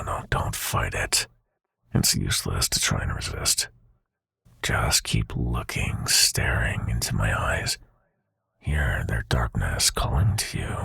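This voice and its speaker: A man playing a seductive, menacing prince of nightmares in an intimate ASMR roleplay, speaking in a breathy, silky, commanding half-whisper. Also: extremely low pitch, fairly bright tone, no room sound, clean studio sound, full character voice. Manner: slow, silky, commanding, taunting.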